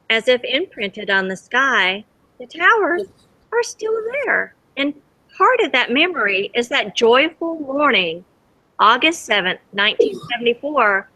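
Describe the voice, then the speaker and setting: Woman, slow pace, bright clear-cut tone, expressive storybook read-aloud, teacher questioning her class. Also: fairly high pitch, low-quality recording. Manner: expressive, dramatic, pauses to ask the children questions. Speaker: teacher reading a picture book aloud to children. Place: school library with children.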